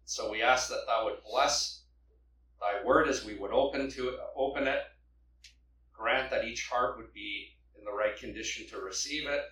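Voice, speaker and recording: A man from Canada preaching, praying and reading scripture aloud with a loud open-air preaching voice, standing back from the microphone. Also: on the low side, a little hiss or hum. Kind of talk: sermon with prayer and scripture reading. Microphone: microphone held back from the mouth. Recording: echoey hall, mic at a distance.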